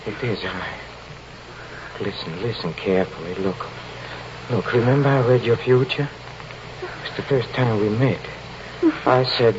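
An actor playing a haunted, desperate stage magician, telling his tale haltingly with repeated words in an overwrought old-time radio style. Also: on the low side, lightly acted. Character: haunted, desperate male stage magician recounting a terrifying ordeal. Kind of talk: old-time radio drama acting, tormented first-person narration. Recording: muffled, noisy old radio recording.

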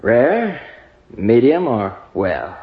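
A man's voice: medium pitch, sing-song, extremely slow, heavily acted. An actor playing an easygoing lunchroom counterman in an old-style radio drama. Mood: casual, businesslike. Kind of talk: mid-20th-century radio drama acting. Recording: dull, lo-fi old radio recording.

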